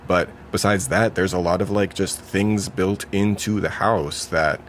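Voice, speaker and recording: A man chats in a casual interview with a deep, low voice. Faint vehicle noise and ambience sit behind him. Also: fairly even intonation, unhurried. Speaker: interviewee, house resident.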